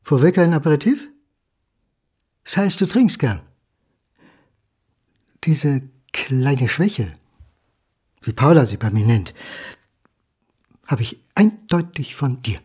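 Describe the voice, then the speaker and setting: An actor playing a nervous, sardonic man of forty-nine, wounded and over-eager, in theatrical, halting one-sided talk in a radio drama. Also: mid-range voice, animated, a little mellow. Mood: hopeful, brittle. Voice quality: husky, breathy. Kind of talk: audio drama acting.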